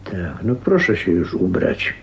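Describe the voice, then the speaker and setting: An actor playing a brusque, absent-minded family doctor in a radio drama, drawling in a distracted manner. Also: male voice, low-pitched, very animated, a little slow, vocal fry, full character voice. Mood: brusque, jocular. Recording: echoey room, faint noise.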